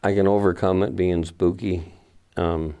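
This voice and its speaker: Deep-voiced older man in a mine. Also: steady tone, a little slow, warm tone. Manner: wry, self-deprecating, joking. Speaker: camera operator. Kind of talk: casual behind-the-scenes chat. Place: inside an abandoned mine tunnel.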